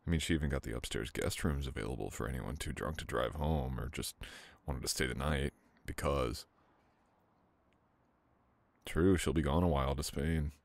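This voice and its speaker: Young male lead, very low gravelly tone, teasing and soft-spoken; scripted romance audio. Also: moderate pace, dry recording. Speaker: audio roleplay performer. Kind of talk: scripted boyfriend roleplay ASMR.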